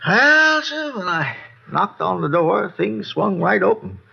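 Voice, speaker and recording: A man's voice: sits high, slow pace, vocal fry, husky, exaggerated voice. An actor playing a folksy, boozy older American man who rambles and repeats himself in an old radio drama. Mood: agitated, rambling. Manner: rambling, repetitive, folksy, excitable. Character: rambling, boozy, folksy male neighbor witness. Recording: dull, lo-fi old radio recording.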